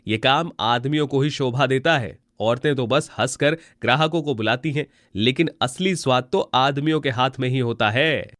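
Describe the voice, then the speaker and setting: A man plays a street vendor in a moral story, looking down on his rival. He is boastful and mocking, with a middling male pitch. The sound is dry, with no room on it. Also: moderate pace, few pauses, fairly bright tone, dry recording, close to an everyday voice. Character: scornful, sexist male street-food vendor.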